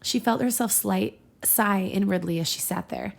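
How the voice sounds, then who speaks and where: Creaky-voiced woman reading fiction aloud. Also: mid-range voice, moderate pace. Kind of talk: casual comedic read-aloud narration.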